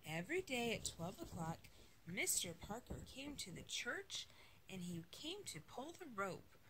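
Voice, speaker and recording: A woman reading a children's storybook aloud as a mother to a child, slowly and expressively, acting out the story. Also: mid-range voice. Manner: slow, expressive, acting out the dialogue. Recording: noisy home recording with clicks.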